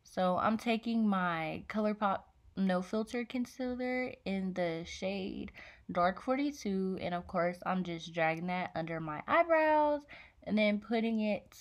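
A young woman talking casually through a makeup tutorial, relaxed and chatty with many fillers, in a small bedroom on a device mic. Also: medium pitch, unhurried. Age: young adult. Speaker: beauty vlogger.

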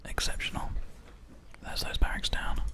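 A man speaks in a breathy whisper, very close to a binaural microphone. He gives soft, soothing commentary on his gameplay at an unhurried flow, as an ASMR host does. Keyboard and mouse clicks sound behind him. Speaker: host, gamer.

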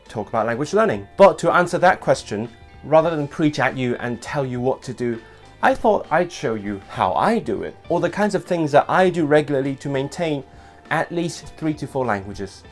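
A young man talks straight to his webcam from a small room at home, his hands moving as he explains things. English is not his first language, and he speaks with an average pitch. Soft music plays faintly behind him. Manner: animated, gestures with hands while talking. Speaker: language vlogger host. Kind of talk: casual scripted vlog talk to camera.

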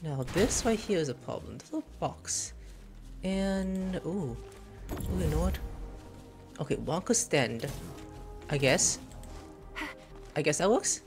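A woman's voice: deep voice, expressive, slow pace, pauses often, music in the background. A woman casually commenting while playing a video game, playful and jokey, talking to herself. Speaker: streamer.

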